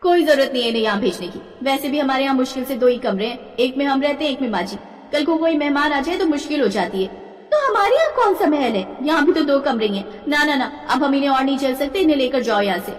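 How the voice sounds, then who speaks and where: A woman voicing a selfish, sharp-tongued daughter-in-law complaining in a cartoon family tale. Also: sits high, animated, quick pace, far from the mic, voice pushed up. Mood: irritated, complaining. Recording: echoey reverberant voice, faint noise.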